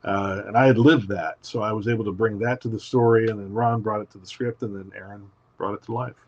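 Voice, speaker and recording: A man being interviewed as a guest writer, telling his story in an emphatic, animated way. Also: lowish voice, a little fast, lo-fi sound. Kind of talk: casual interview.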